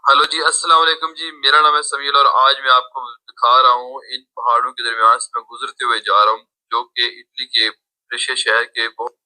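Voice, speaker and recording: A man giving a recorded self-introduction, greeting and introducing himself slowly, captured on a phone. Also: mid-range voice, flat delivery, bright, forceful tone, rough recording.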